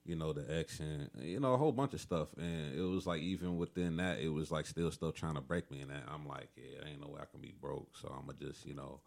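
A young man with a deep voice, talking as a musician guest in a calm, laid-back way. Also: a little fast, gravelly, few pauses. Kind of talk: casual interview chat.

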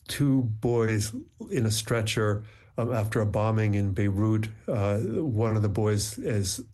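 A middle-aged man, a poet, talks reflectively with frequent pauses and then reads his poems slowly and deliberately. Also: fairly low pitch, a little mellow. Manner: measured, reflective, many ums when talking, slow deliberate reading. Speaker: poet, interview guest. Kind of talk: conversation and slow poetry reading.

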